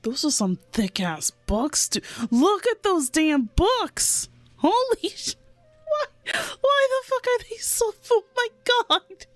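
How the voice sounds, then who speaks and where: A woman streamer giving casual, excitable and giggly reaction commentary on a game. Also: extremely high pitch, takes it slowly, faint music behind.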